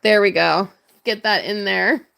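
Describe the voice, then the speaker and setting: A woman with a hoarse, worn voice, chatting casually and greeting viewers while crafting on a livestream. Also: mid-range voice, steady tone, measured pace, fairly bright tone, slight background noise. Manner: chatty, upbeat, laughs often. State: hoarse, voice strained from hours of talking. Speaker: livestream crafter and shop owner.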